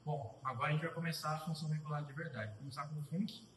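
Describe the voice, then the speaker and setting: A young man giving a casual live coding tutorial, thinking aloud as he types, with pauses and restarts. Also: on the high side, fairly even intonation, fairly bright tone, distant mic, slight background noise. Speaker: student presenter. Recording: roomy echo, voice off-mic.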